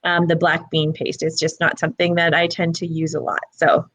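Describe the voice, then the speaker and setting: A woman's voice: sits low, flat delivery, moderate pace, fairly bright tone, dry recording. A woman from Alberta, Canada, a recipe creator co-hosting a live cooking stream, chatty and prone to repeating words as she starts a thought. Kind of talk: live-streamed casual chat.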